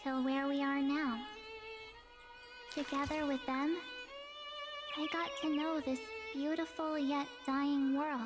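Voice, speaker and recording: A perky young woman adventurer in a story game, high-pitched and proud of herself, with a sentimental streak. Music plays clearly behind the scripted lines. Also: little pitch movement, slow, long pauses, long reverb tail. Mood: solemn, hopeful. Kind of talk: scripted video game story narration and dialogue. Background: game music with violin.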